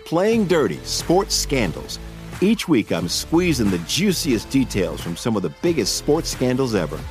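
A man's voice: mid-range voice, very animated, unhurried, faint music behind. An American man, a podcast host, reading a scripted promo in a punchy, emphatic way.

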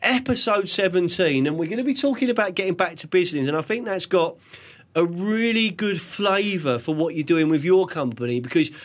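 Middle-aged man, podcast host, rambling chatty delivery, fairly high pitch. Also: expressive, brisk, fairly bright tone. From England. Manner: chatty, rambling, many restarts. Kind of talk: casual chat. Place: studio.

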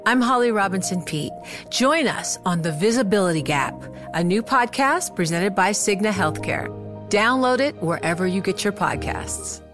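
A woman reads a short scripted podcast ad in a warm, measured way. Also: mid-range voice, lively intonation. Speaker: podcast ad presenter. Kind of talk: scripted promo read. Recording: music bed under voice.

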